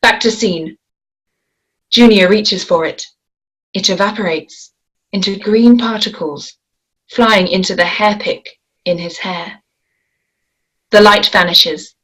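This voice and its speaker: A woman reads a screenplay aloud at a measured pace, laying out scene directions and action lines. Her voice sits in an ordinary middle pitch. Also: a bit bright, husky, rough recording. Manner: measured, descriptive reading. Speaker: script reader. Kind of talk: screenplay table read.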